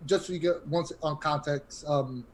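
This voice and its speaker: A man's voice: on the high side, moderate pace, slight background noise. A man telling personal stories in an informal, emphatic way in a casual group chat. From Queens, New York City. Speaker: podcast panelist.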